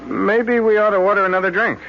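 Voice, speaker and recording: A man's voice: high voice, steady tone, a little fast. An actor playing a blunt, impatient young man in an old radio drama. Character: blunt young man Jeff, Marge's suitor. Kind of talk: 1970s radio drama acting. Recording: dull lo-fi old radio broadcast with music.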